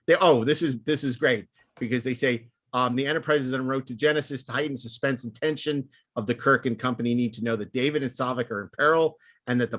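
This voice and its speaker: A man's voice: mid-range voice, fairly bright tone, no echo. Man hosting a casual panel discussion. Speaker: podcast host. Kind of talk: casual panel discussion, reading notes aloud.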